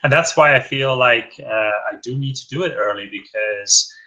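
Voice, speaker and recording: A man's voice: mid-range voice, moderate pace, sharp tone, poor audio. A man, chatting in an upbeat, friendly way as a guest on an interview show. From northwest Wales. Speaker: guest, endurance cyclist. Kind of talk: casual interview chat.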